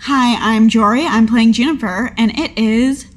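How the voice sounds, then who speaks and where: A woman's voice: a little high, moderate pace. A woman speaking as a player on a tabletop game podcast.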